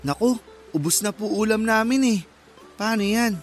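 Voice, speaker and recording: High-voiced, friendly young Filipino man, acted by a man. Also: moderate pace, faint music behind, lightly acted. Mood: apologetic, polite. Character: friendly, generous nineteen-year-old male eatery helper. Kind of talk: Filipino radio drama acting.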